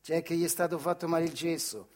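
A male actor with a Marche accent voicing a brisk, mocking country hospital doctor who teases and exclaims, in a theatrical monologue. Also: on the high side, fairly even intonation, darkish tone, lightly acted. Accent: Marche, central Italy. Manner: teasing, exclaiming.

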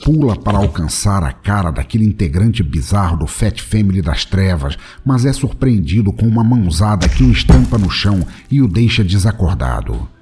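A man's voice: lowish voice, very animated, normal speed, few pauses, darkish tone, slight background noise. A man with a Brazilian accent delivering scripted narration for a comic action story. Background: music.

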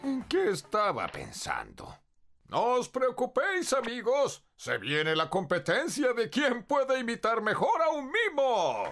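An actor playing a pompous, boastful, clueless old-fashioned gentleman in a comic radio drama. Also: extremely high pitch, huge pitch swings, unhurried, vocal fry, a little hiss or hum, full character voice. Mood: festive. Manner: pompous, theatrical, hesitant when caught out.

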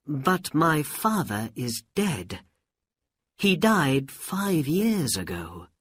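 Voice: medium pitch, big pitch swings, extremely slow, breathy edge, no echo, studio quality, voice pushed up, full character voice. A narrator voicing an innocent young boy in a storybook, curious and wide-eyed in a simple way. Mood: plain, earnest.

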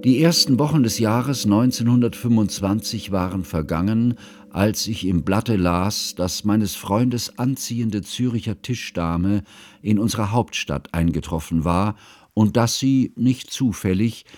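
An actor playing an earnest, scholarly friend who narrates a composer's life in formal, measured phrases for a radio drama. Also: male voice, fairly low pitch, a little mellow, natural voice. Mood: reflective.

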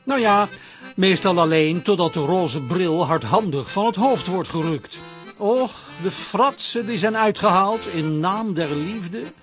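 An actor playing a wry, jaunty storyteller, giving the scripted narration of a radio comedy in a theatrical way. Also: male voice, high-pitched, lively intonation, a little slow, a bit bright. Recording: accordion music behind voice.

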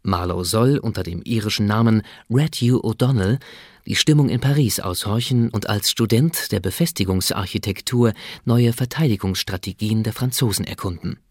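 A man serves as radio drama narrator, with a lowish voice recorded very dry. His delivery is scripted, sober and factual. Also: moderate pace, darkish tone, husky, dry recording. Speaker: narrator and announcer.

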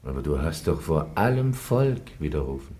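An actor playing a stern church judge who questions coldly in a classic radio play. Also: male voice, rumbling low, sing-song, normal speed, dark tone. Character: stern male church judge, cold interrogator.

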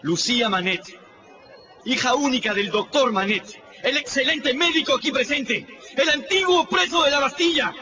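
An actor playing an earnest, dignified young emigrant aristocrat, declaiming in a radio drama. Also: male voice, very high-pitched, normal speed, sharp tone, some chatter behind. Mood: earnest defiance. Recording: dull, distant radio recording, faint noise.

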